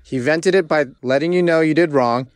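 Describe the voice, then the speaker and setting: A man's voice: fairly high pitch, normal speed, no room sound, studio quality. A man co-hosting a podcast with casual comic banter, crude and full of swearing. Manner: crude, profane, joking. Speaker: podcast co-host.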